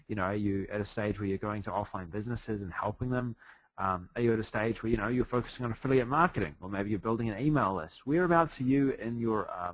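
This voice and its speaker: A man with a New Zealand accent runs a live online webinar from a hotel room, talking in a chatty, upbeat way with lots of ums and uhs. His voice sits fairly low and sounds close and dry on a computer mic. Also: a bit bright, no echo, rough recording. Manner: upbeat, chatty, heavy on fillers. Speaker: webinar presenter. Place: hotel room late at night.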